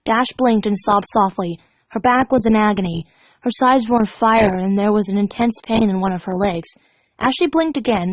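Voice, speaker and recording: A woman reading a story aloud as an amateur narrator, dramatic, now and then stumbling over the words. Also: on the high side. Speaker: fan fiction reader. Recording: dull, echoey home recording.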